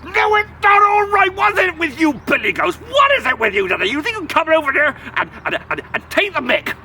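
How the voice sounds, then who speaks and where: A man voicing an indignant troll who rants in an exasperated, shouty way, recorded outdoors from a distance. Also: very high-pitched, very animated, a little fast, gravelly, a little hiss or hum, exaggerated voice. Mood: outraged. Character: indignant shouting male troll under a bridge.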